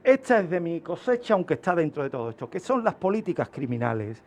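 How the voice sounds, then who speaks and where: A man's voice: fairly high pitch, expressive, quick pace, creaky. A man speaking as a criminologist guest on a radio segment, explaining in an emphatic, didactic way with rhetorical questions. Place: radio studio.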